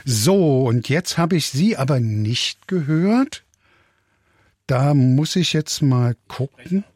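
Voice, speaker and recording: A man's voice: medium pitch, lively intonation, slow pace, a little mellow, slightly rough, no echo, studio quality. A man hosting an interview, friendly and asking long questions, briefly flustered by technical trouble. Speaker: interviewer host. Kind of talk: live interview moderation.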